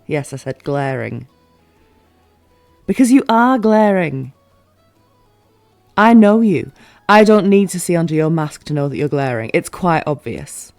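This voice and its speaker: A woman playing a teasing, playful fantasy ruler in a scripted audio roleplay, with formal, expressive phrasing. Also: sits low, unhurried, long pauses, no echo, faint music behind, heavily acted.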